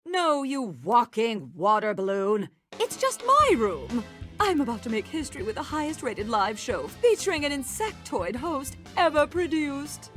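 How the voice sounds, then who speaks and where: A woman voicing a vain, haughty insectoid queen bee villainess in an animated video game, with a showy delivery. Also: high voice, unhurried, faint music behind, heavily acted. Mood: haughty, menacing.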